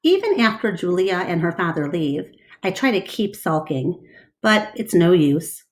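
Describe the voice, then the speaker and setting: A woman reading a children's book aloud in an expressive, deliberate storytelling style. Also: on the low side.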